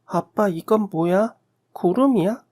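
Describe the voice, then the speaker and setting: A man's voice: sits high, slow, darkish tone, voice pushed up. A man voicing a sweet, curious little girl in a radio story drama. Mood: curious, wondering.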